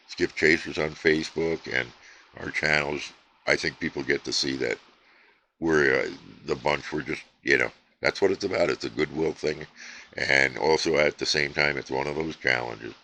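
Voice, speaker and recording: A man casually chatting to camera about his radio hobby, rambling and relaxed with frequent fillers and restarts. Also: extremely low pitch, moderate pace, slight background noise. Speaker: CB radio hobbyist and channel host. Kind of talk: casual unscripted vlog chat.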